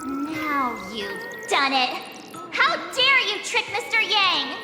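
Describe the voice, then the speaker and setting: A woman's voice: high voice, very animated, slow pace, full character voice. An actress playing a perky, indignant young heroine in a video game, exclamatory and girlish. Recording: echoey hall reverb, music behind.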